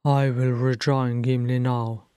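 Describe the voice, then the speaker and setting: A sulky, proud male dwarf from a fantasy audiobook, acted out by a woman. The voice is low and rough, with a dark tone. The wording is curt and archaic. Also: level tone, a little slow, dry recording, studio quality. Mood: gruff, sullen. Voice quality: rough, gruff. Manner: curt, formal, archaic speech.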